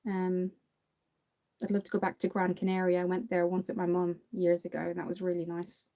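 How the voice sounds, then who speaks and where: A young Dublin woman with an Irish accent chats through a makeup livestream, reading and answering viewers' comments as she works. Her voice sits low and mellow, unhurried and friendly. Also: monotone. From Ireland. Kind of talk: casual livestream makeup tutorial.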